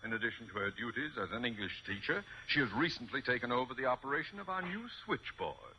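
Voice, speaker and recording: An actor playing a pompous, bossy school principal with an orotund, theatrical delivery in a radio sitcom. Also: male voice, mid-range voice, lively intonation, fast, lightly acted. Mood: pompous, dismissive. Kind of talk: 1950s American radio sitcom acting. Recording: dull old radio recording, faint noise.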